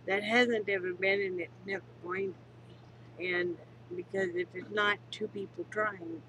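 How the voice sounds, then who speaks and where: A woman's voice: mid-range voice, steady tone, normal speed, a little hiss or hum, low-quality recording. A woman in her fifties, congested, candidly talking to her webcam at home and swearing freely. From Wyoming, United States. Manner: candid, swears freely, turns somber and quiet. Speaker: vlogger. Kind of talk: casual home vlog. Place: living room at home, on a couch. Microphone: webcam at close range.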